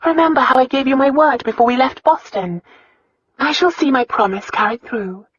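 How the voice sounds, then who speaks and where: Woman doing a young princess in an audiobook, high voice, polite but firm, composed, slightly dull sound. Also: lively intonation, moderate pace, a bit bright, breathy edge, heavily acted. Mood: soothing, firm. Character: young princess, eighteen, poised, determined niece. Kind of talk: audiobook character acting.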